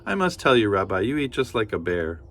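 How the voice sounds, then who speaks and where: A man voicing a kindly old grandmother who fusses warmly over her guest. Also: fairly low pitch, big pitch swings, a little fast, full character voice. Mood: doting. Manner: doting, gently scolding.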